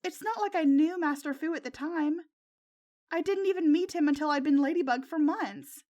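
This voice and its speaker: A woman voicing an anxious, tender-hearted teenage girl with a soft, earnest, emotional way of speaking in a narrated story. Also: high-pitched, moderate pace, highs cut off, voice pushed up, natural voice.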